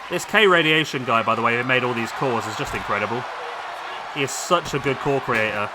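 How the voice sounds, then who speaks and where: A man commentating on a wrestling video game, losing his voice, chatty and excitable as he calls the action. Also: medium pitch, brisk. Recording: loud game crowd noise behind. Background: video game crowd and arena sound.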